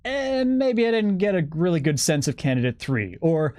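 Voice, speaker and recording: A man's voice: a little high, very animated, moderate pace, darkish tone, dry recording. Man explaining in detail, very dry sound. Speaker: guest game developer giving campaign updates. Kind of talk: explanatory talk on a live stream.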